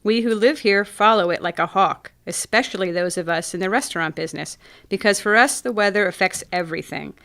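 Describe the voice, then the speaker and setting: A woman acting in community radio theatre as a gracious small-town café owner who describes things in measured, poetic phrases. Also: mid-range voice, fairly bright tone, close to an everyday voice. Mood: reflective.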